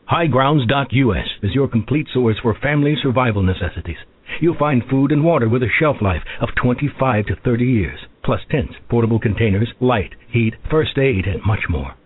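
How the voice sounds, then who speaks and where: A man's voice: medium pitch, expressive, moderate pace, a little hiss or hum. A man reading an upbeat radio commercial with a punchy salesman delivery. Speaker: commercial announcer. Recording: tinny phone-like broadcast.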